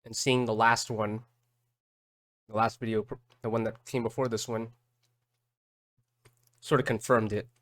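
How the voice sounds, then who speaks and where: A man's voice: on the low side, level tone, fairly bright tone, no room sound. Man thinking aloud in a dry, close recording. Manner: halting, thinking aloud, repeating words. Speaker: streamer reacting to game videos. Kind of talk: casual live reaction commentary.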